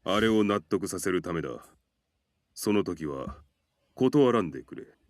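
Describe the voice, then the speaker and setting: A man's voice: low voice, animated. A man voicing a serious adult male character in a Japanese visual novel, firm and matter-of-fact. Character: serious adult man giving frank advice.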